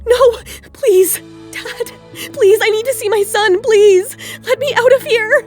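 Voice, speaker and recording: A woman's voice: high voice, lively intonation, a little slow, faint music behind. An actress playing a wronged, desperate young mother, emotional and defiant, in an audio drama. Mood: desperate, pleading.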